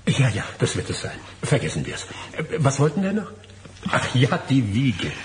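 An actor in a German radio play voicing a nervous, evasive lawyer and distracted husband, hesitant and often repeating himself. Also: male voice, medium pitch, wildly swooping pitch, moderate pace.

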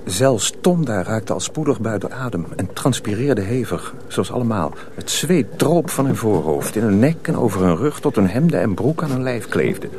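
A man's voice: on the low side, big pitch swings, normal speed, hardly any pauses, noisy background. A man narrating a radio drama as a storyteller, reading scripted prose dramatically.

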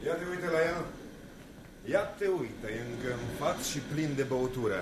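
Jealous street tough played by an actor, menacing, contemptuous, swooping pitch, radio drama, roomy echo, background noise. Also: male voice, animated, lightly acted. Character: jealous, hostile local tough guarding his girl. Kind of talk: radio theatre acting.

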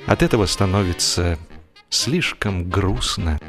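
A man narrating comic literature as an audiobook performer, in an expressive, mock-serious way, drawing out the ends of his phrases. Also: deep voice, over loud music. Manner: expressive, wry mock-serious delivery with drawn-out phrase endings.

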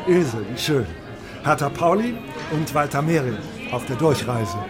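An actor playing a jovial émigré writer in a radio drama, hearty and mock-formal. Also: male voice, a little high, animated, measured pace, crowd noise. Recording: music behind the voice.

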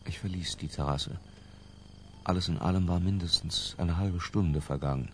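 An actor playing an obsessive, tense middle-aged man who narrates his confession in a deliberate, literary radio drama style. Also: low-pitched, a bit bright, slight background noise. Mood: tense, brooding.

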